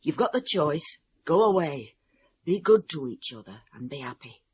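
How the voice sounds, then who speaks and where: A kindly older countryman counsels in a husky, fairly high voice. His pitch moves expressively as he gives firm radio-drama advice. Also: big pitch swings, normal speed, darkish tone, muffled recording. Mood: earnest, consoling. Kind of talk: radio play acting.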